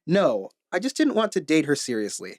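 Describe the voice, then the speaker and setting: A man's voice: on the high side, moderate pace, no room sound, clean studio sound. A man reading as a blunt, cool queer woman. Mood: frank. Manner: blunt, deadpan, frank about sex. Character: cool, charismatic queer woman from Los Angeles, in her late twenties. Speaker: comedian reading a listener's story. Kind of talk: casual first-person story reading.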